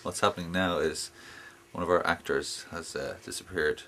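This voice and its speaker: A man's voice: deep voice, fairly even intonation, moderate pace, bright, forceful tone, slight background noise. An Irish man chatting casually behind the scenes, asking the director questions. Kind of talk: casual chat.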